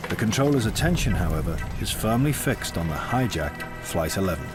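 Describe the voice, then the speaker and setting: A man's voice: deep voice, sing-song, brisk. A man reading scripted, dramatic documentary narration. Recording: loud music under voice.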